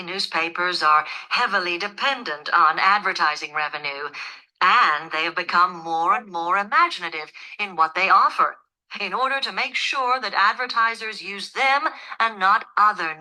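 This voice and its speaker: A woman delivering a scripted academic lecture in a measured, formal, explanatory manner. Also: lowish voice, pushed and bright, poor audio. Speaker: lecturer in a listening test.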